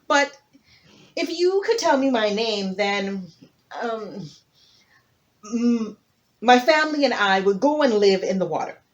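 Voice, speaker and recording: A woman voicing a proud male hippopotamus king in a folktale, speaking in loud, pompous proclamations. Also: on the high side, lively intonation, slow pace, pauses often, dull audio. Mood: boastful. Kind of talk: theatrical folktale storytelling.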